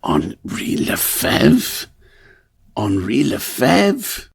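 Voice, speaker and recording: An actor playing an obsessive, grandiose composer in theatrical old-time radio style, with intense, halting delivery. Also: male voice, mid-range voice, huge pitch swings, gravelly, husky, exaggerated voice. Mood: stunned, brooding. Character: tormented, obsessive male composer, feverish and grandiose. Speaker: lead actor in radio play.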